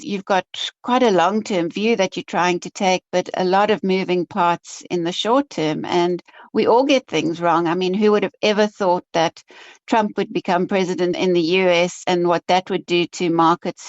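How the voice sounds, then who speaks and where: A South African woman, an investment officer, answering interview questions in a relaxed, self-deprecating way and laughing easily. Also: lowish voice, normal speed, no room sound, lo-fi sound.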